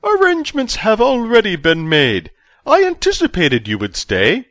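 A man reading an audiobook, voicing a giddy, fawning male chancellor who gushes in a slow, drawn-out way. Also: high voice, wildly swooping pitch, a bit bright, dry recording, clean studio sound, voice pushed up, exaggerated voice. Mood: gracious. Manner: gushing, drawn-out delivery.

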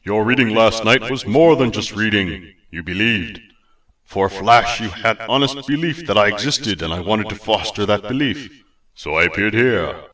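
A man puts on a pint-sized male god with a booming, formal, archaic manner, louder than his plain reading. The voice sits low and sounds set back from the mic. It is a storybook deity who still talks as if from a throne. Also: normal speed, distant mic, natural voice. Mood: solemn. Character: tiny pompous male god, grandly formal.

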